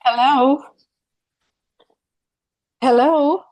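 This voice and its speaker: A woman's voice: sits high, fairly even intonation, extremely slow, a little mellow, rough recording. A woman acting a mother in her early seventies, hesitant and pleading, in a script table read. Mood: puzzled. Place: video call.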